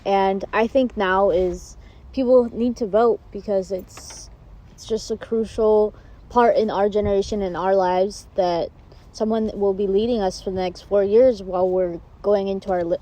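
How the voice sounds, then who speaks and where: A woman's voice: mid-range voice, steady tone, normal speed, dark tone, rough recording. A young woman speaking earnestly in an interview about why she votes. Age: young adult. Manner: earnest, uses "definitely" often. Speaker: first-time voter interviewee. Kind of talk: casual interview answers.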